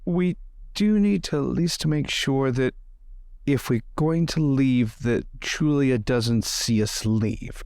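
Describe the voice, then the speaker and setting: A man voicing a reluctant, wary gentleman who frets over social duty in an improvised tabletop roleplay. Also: medium pitch, takes it slowly, clear, resonant tone, dry recording, clean studio sound. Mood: cautious.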